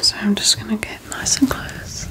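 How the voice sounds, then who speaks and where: A young woman whispering breathily and gently in an ASMR medical roleplay, giving soothing instructions very close to the microphone. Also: moderate pace. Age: young adult. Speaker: ASMR performer playing an eye examiner.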